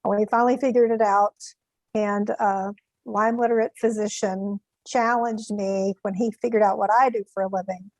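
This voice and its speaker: A woman's voice: medium pitch, no room sound, low-quality recording. Woman with a Mississippi accent. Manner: thoughtful, pauses to find words. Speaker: therapist guest. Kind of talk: interview answers.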